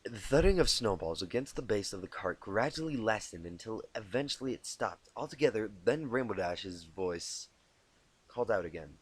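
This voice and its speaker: Man reading a story aloud, lively intonation. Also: lowish voice, moderate pace, husky, poor audio. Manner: informal, self-correcting. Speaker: amateur fan fiction narrator.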